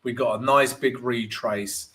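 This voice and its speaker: A man with a gravelly voice today, hosting a live trading stream and walking viewers through charts. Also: medium pitch, measured pace. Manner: animated, keeps asking "right?", greets chat viewers. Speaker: forex trading livestream host.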